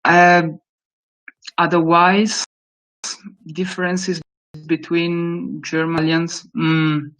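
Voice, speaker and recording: A man from Italy with a strong Italian accent, speaking English as a guest speaker in a webinar Q&A, with frequent pauses and restarts. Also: fairly high pitch, little pitch movement, a bit bright, rough recording. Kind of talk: webinar Q&A answer.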